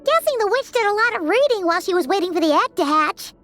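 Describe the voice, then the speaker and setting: A tiny, bubbly fairy companion, chattering eagerly through a game adventure. Her voice sits extremely high and swings wildly in pitch. She exclaims at every new thing. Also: lively intonation, fast talker, fairly bright tone, soft background music. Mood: chatty. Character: small excitable female fairy companion. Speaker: companion character.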